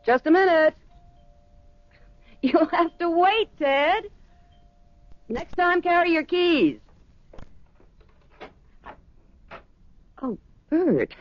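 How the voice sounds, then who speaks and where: An actress playing an anxious, devoted middle-aged wife in a 1970s radio drama, speaking urgently and falteringly. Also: female voice, very high voice, animated, slow pace, pauses often, muffled recording, full character voice. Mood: expectant. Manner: urgent, faltering, earnest delivery.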